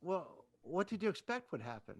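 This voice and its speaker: A man's voice: sits high, sing-song, moderate pace, heavily acted. Bashful, hesitant man in radio comedy. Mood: flustered. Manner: hesitant, flustered, polite. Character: shy, bashful, earnest male teacher. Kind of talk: 1940s radio comedy acting.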